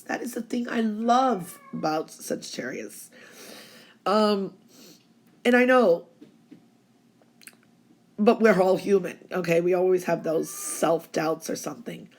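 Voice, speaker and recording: A woman's voice: mid-range voice, gravelly. A woman with laryngitis, giving a chatty, reassuring fortune reading. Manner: chatty, reassuring, keeps saying okay. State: hoarse from laryngitis. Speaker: psychic reader. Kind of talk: tarot-style weekly reading.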